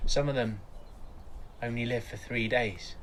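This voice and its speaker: A man's voice: mid-range voice, steady tone, a little slow, fairly bright tone, lightly acted. Weary young dad, noisy surroundings man acting. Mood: guarded, wistful. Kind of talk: naturalistic audio drama acting.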